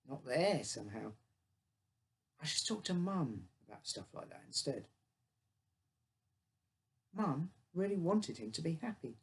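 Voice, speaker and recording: A man with a British accent reading his own scripted short story, narrating in the first person as a child. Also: medium pitch.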